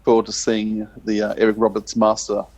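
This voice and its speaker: A man's voice: fairly low pitch, monotone, fairly quick, darkish tone, dry recording. A man chatting casually as a podcast co-host.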